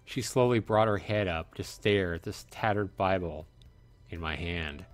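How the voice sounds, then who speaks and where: A man reading a visual novel aloud as narrator, with earnest, measured, dramatic phrasing. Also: low voice, soft background music.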